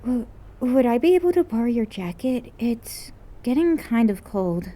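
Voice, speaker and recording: A woman voicing a gentle, sheepish teenage girl in a story reading, polite and softly pleading. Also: fairly high pitch, very animated, moderate pace, pitched above the performer's own voice. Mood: shy, pleading. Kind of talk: acted dialogue in fan fiction reading.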